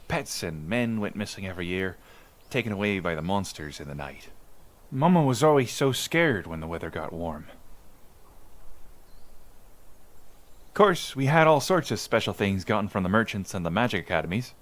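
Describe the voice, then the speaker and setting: A man's voice: low voice, fairly bright tone. A man playing an island villager in an ASMR roleplay, recalling his childhood in a folksy, musing, conversational way. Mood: reminiscing. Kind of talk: ASMR roleplay storytelling monologue.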